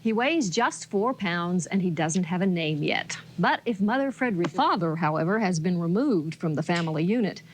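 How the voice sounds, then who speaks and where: A woman's voice: lowish voice, lively intonation, normal speed, hardly any pauses. A woman anchoring a local TV newscast on a noisy 1980s broadcast tape. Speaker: TV news anchor.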